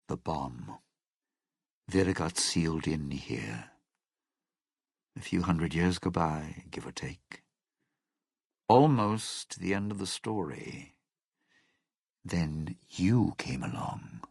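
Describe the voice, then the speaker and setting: Game role: smug, vengeful crooner-thief, low husky rasp, widely swinging sardonic delivery. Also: male voice, very animated, takes it slowly, pauses often, no echo, clean studio sound, heavily acted. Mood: cold, vengeful. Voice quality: husky, gravelly. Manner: sardonic, theatrical, sneering. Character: bitter, scheming male lounge singer and thief, smug and vengeful. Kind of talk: video game character dialogue.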